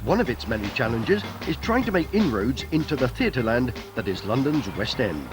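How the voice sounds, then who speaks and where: A man's voice: mid-range voice, sing-song, moderate pace. A British man reading light-hearted scripted narration for a documentary. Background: music.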